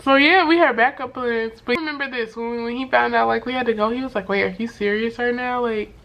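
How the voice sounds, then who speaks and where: A young woman with a voice worn hoarse from sickness, telling a story to camera in a loose, slangy way full of fillers and swearing. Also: fairly high pitch, a little fast, lo-fi sound. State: hoarse from being sick. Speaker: vlogger. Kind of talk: casual storytime chat to camera.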